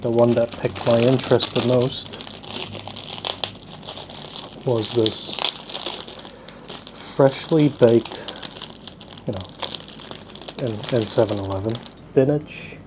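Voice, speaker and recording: Male food-vlog host, average pitch, rambling and self-deprecating, recorded amid clear ambient noise. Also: fairly even intonation, slow pace, long pauses. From South Korea, at least partly. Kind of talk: casual food-tasting vlog chat. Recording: clearly noisy ambient background.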